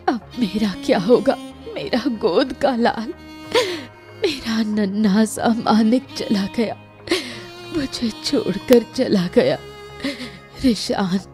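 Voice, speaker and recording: A woman acts an anguished young mother in a village cartoon. She laments slowly and dramatically, her pitch rising and falling widely, over faint music. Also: darkish tone. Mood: wailing grief. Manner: wailing, lamenting. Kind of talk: melodramatic Hindi cartoon story acting.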